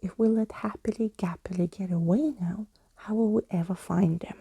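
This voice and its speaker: A sharp-tongued storybook girl, as a woman reader puts her on, forever complaining and sceptical. Her sarcasm comes in a very mellow, breathy whisper, close and dry. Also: medium pitch, steady tone, moderate pace, dry recording. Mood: impatient. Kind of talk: whispered bedtime storybook reading.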